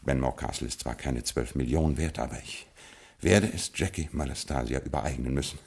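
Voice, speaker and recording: An actor playing a ruined, absent-minded Scottish lord in a 1950s radio drama, with a stately, fatalistic manner. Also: male voice, extremely low pitch, a little fast, gravelly, a little mellow, breathy edge, heavily acted. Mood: resigned stately.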